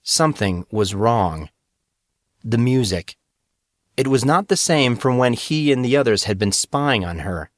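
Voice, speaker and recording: A man narrates a dramatic story at an ordinary male pitch. The recording is close and dry, with very little room sound, and the delivery is that of scripted audiobook fiction. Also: expressive, measured pace, no echo.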